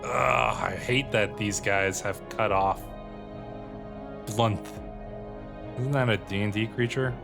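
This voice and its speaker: A man's voice: lowish voice, fairly even intonation, takes it slowly, vocal fry, long pauses. A man hosting a gaming video with casual, running commentary on his play. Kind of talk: casual gameplay commentary with read-aloud in-game text. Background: music.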